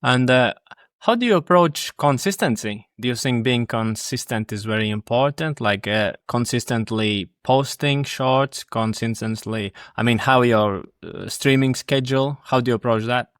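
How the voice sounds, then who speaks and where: A male podcast host with a smooth, clear voice at a middling pitch. He talks informally and haltingly, feeling his way through each question, in a dry recording. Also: fairly bright tone, no echo. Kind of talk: casual interview conversation.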